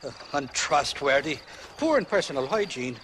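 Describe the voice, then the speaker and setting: An actor playing a weaselly Irish rogue in a western game, wheedling and blustering in an Irish accent. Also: male voice, on the high side, sing-song, moderate pace, a bit bright, slight background noise. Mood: ingratiating. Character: weaselly drunken Irish male rogue and liar. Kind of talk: animated western game acting.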